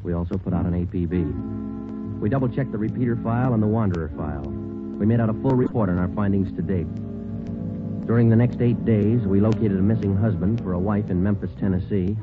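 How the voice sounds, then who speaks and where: Clipped deadpan radio detective, low voice acted. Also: male voice, fairly quick, close to an everyday voice. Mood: matter-of-fact. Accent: American. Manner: clipped, deadpan, matter-of-fact. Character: stoic male police sergeant detective narrating his case. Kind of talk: 1940s radio detective drama acting and narration. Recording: muffled 1940s radio recording with music.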